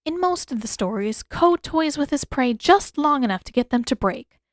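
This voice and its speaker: A woman voicing an older mother, shrewd and unyielding, with a measured, matter-of-fact way of talking. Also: high-pitched, expressive, no echo, clean studio sound, voice pushed up. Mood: dry, assured.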